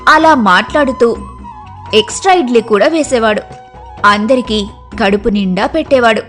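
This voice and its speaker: A woman's voice: on the high side, normal speed, fairly bright tone, soft background music. A woman narrating a scripted moral story as a storyteller.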